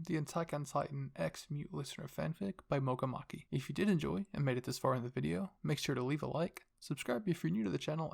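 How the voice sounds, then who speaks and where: A man's voice: a little high, creaky, darkish tone. A man reading scripted story narration as the host of an ASMR roleplay channel.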